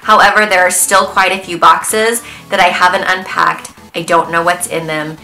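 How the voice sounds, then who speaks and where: Congested woman chatting over soft music. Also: fairly low pitch, fairly even intonation, normal speed, low-quality recording. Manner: chatty and candid, friendly asides to viewers. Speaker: home vlogger. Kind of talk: casual declutter vlog voice-over.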